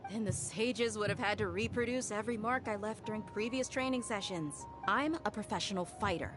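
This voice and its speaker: Woman doing a self-assured fighter, mid-pitch, game music behind. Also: brisk, natural voice. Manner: confident, matter-of-fact. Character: confident female professional fighter, matter-of-fact. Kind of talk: game dialogue.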